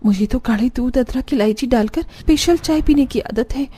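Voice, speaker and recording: A woman's voice: high-pitched, normal speed, dark tone, breathy edge, soft background music. A woman voicing a young daughter-in-law in a cartoon story, outwardly polite to her elders and given to grumbling and muttered complaints. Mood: dismayed complaining. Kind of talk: Hindi cartoon story acting.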